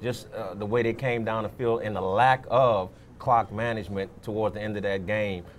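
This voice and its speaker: A man's voice: lowish voice, fairly even intonation, moderate pace. A man giving unscripted, opinionated sports analysis as a panelist, repeating his points for emphasis.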